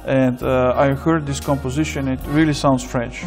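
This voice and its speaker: A man's voice: mid-range voice, steady tone, moderate pace, music in the background. Bulgarian-born male interviewer, playful questions. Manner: probing, playful, with frequent fillers. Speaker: interviewer, host.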